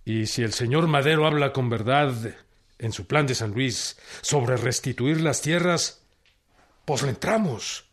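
A man's voice: mid-range voice, measured pace, natural voice. Man acting a bold Mexican peasant rebel leader, echoey. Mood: resolute. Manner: plain-spoken, rural colloquial speech. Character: male peasant revolutionary leader, bold and defiant. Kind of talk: radio drama acting. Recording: echoey, noisy crowd ambience.

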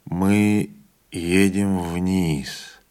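Male reader doing a dignified older conductor, deep, hushed and unhurried. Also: steady tone, smooth. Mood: calm grave. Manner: speaks quietly, slowly and firmly.

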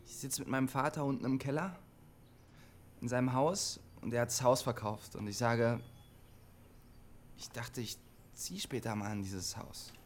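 An actor playing a lonely, troubled young radio operator in a German radio drama, terse and brooding. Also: male voice, mid-range voice, moderate pace, pauses often, a little hiss or hum, lightly acted. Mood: subdued narrating. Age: young adult. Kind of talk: German radio drama acting, intimate narration and dialogue.

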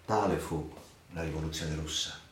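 A man reads a dramatic literary narration for radio theatre in a theatrical, emphatic, measured way. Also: rumbling low, fairly even intonation, slight background noise. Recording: roomy echo with music underneath. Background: music.

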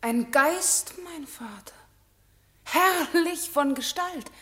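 A woman's voice: sits high, drawn-out words, pushed and bright, full character voice. A woman playing an innocent, compassionate young maiden, speaking earnestly in a theatrical classic radio drama. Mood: wonderstruck. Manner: earnest, declamatory.

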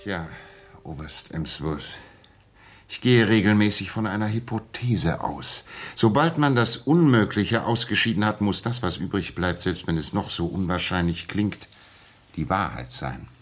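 A composed gentleman detective with a low, slightly husky voice. He sets out his reasoning courteously and precisely, in the measured manner of 1960s German radio drama. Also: animated. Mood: methodical, assured.

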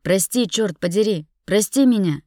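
A woman's voice: sits low, fairly even intonation, moderate pace, darkish tone, dry recording, clean studio sound. A woman narrator voicing a guilty, tense husband who speaks tersely and pleads, in an audiobook drama. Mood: shouting, desperate.

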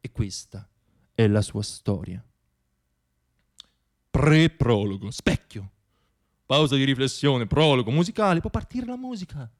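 A man's voice: medium pitch, lively intonation, unhurried, long pauses. A man performing a theatrical comic monologue as a storyteller, wry and self-deprecating, repeating words for effect.

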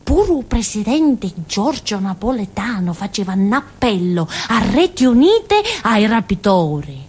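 A woman's voice: mid-range voice, big pitch swings, unhurried, a little mellow, slightly rough. An actress playing a naive, stubborn village simpleton girl in a theatrical monologue, with a Calabrian southern Italian accent and a childlike, colloquial, rambling way of talking. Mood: animated, wondering. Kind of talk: theatrical monologue, radio drama storytelling.

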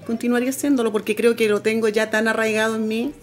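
Middle-aged woman, lively storyteller. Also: fairly high pitch, quick pace, faint music behind. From Camiña, northern Chile. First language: Aymara. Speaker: Aymara language teacher, interviewee. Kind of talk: personal story on a radio program.

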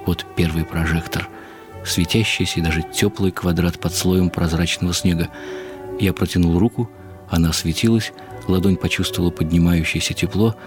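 An older man giving a reflective, expressive and unhurried dramatic reading of literary prose for radio. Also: low-pitched. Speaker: narrator. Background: music.

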